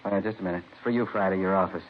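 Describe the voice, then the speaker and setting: A male actor's low-pitched, folksy office clerk chats and mutters through routine business in a vintage radio drama. He is unhurried and casual. The recording is dull, with clear background noise. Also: fairly even intonation, close to an everyday voice. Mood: chatty, routine. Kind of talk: 1950s radio drama acting.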